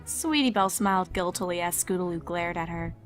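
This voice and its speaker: A woman's voice: on the low side, fairly quick, soft background music. A woman reading scripted story narration as the narrator of a fan fiction. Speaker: fanfic narrator.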